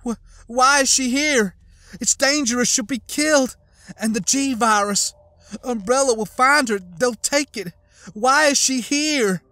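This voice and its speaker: A man's voice: measured pace, fairly bright tone, dry recording. A man reading an audiobook, voicing an injured, grief-stricken female scientist and mother who pleads and wails in pain. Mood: frantic. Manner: pained, pleading, turns frantic and shrill. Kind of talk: audiobook character voice.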